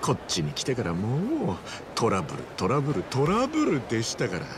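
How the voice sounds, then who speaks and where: A man's voice: mid-range voice, huge pitch swings, a little fast, background noise. An actor playing a boisterous, big-hearted ex-yakuza man in a video game, theatrical and earnest. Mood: upbeat, earnest. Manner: theatrical, earnest, rough-and-ready. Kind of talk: Japanese video game voice acting.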